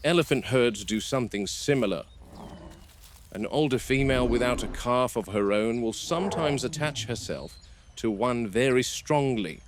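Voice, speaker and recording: A man playing a stern, cautious game warden, speaking in a measured, matter-of-fact way as in a scripted found-footage field log. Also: mid-range voice, close to an everyday voice. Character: stern, cautious male game warden logging park animal observations.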